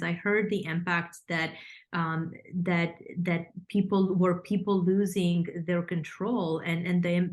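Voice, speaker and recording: A woman in her thirties from Romania, a financial consultant speaking as a guest in an interview, articulate but with many fillers and restarts. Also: fairly low pitch. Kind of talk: podcast interview.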